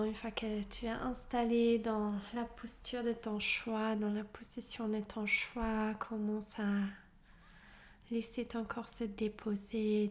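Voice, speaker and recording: Woman, yoga nidra teacher, soft breathy half-whisper, even gentle intonation, unhurried, guided relaxation. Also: medium pitch. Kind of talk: guided meditation, soft-spoken relaxation instruction.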